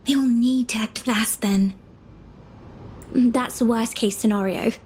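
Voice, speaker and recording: A woman's voice: on the high side, steady tone, slow, a little mellow, slight background noise. A woman voicing an earnest, polite, slightly flustered young female field agent in a video game. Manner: earnest, hesitant, courteous.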